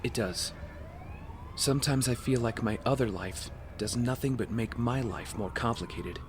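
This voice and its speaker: A man's voice: medium pitch, normal speed, slight background noise, lightly acted. A young man playing a rich playboy with a secret heroic life in an audio drama. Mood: weary, earnest.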